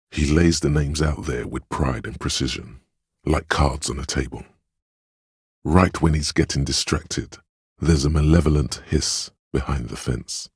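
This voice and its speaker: A man voicing a game's empathetic inner voice, a perceptive and tender narrator with a husky, very deep voice and measured, literary delivery. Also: no echo, studio quality, full character voice. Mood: perceptive, wry. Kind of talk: scripted game narration.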